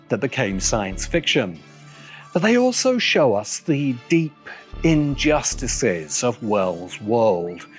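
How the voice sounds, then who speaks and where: Man narrating with lively, swinging pitch. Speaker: video essay narrator and host. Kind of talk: scripted narration, literary video essay. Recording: music behind the voice. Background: music.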